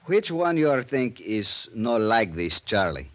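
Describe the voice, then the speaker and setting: An actor playing an eager Mexican police captain who speaks halting broken English with a Spanish accent, in a 1950s radio drama. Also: male voice, lowish voice, big pitch swings.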